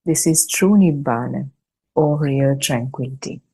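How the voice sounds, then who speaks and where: A woman reading a religious discussion text aloud for an online study session, in a measured, deliberate way with pauses between short phrases. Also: rumbling low, mellow, slightly rough. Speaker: reader and host of an online Buddhist study session. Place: online video meeting.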